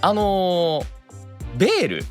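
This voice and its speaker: A man's voice: sits high, wildly swooping pitch, slow, faint music behind. A man appearing as a radio guest, chatting casually in an agreeable, playful way and reading out listener messages. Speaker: radio show guest, voice actor.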